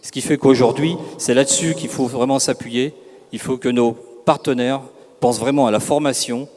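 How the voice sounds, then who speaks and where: A man's voice: medium pitch, fairly even intonation, normal speed, darkish tone. A man giving a semi-improvised seminar presentation as an organisation's representative, emphatic and insistent, sometimes searching for his words. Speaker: representative of a work-integration company speaking at a seminar. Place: large reverberant room at a seminar. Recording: echoey hall with long reverb.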